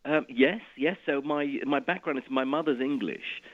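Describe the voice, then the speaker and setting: A man's voice: medium pitch, moderate pace, narrow, tinny audio. A middle-aged man from Iraq, a physicist guest, explaining things in an interview and often restarting and repeating words.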